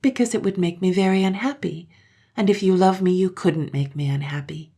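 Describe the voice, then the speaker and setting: A woman voicing a gentle, sorrowful young mother who speaks softly to her children. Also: fairly low pitch, brisk, husky. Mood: tender. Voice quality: soft, tender.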